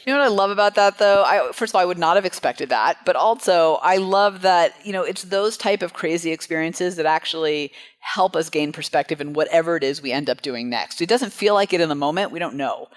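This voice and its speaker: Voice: mid-range voice, fairly quick, few pauses. A podcast host interviewing a guest, upbeat and enthusiastic, often laughing. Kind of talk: casual interview chat.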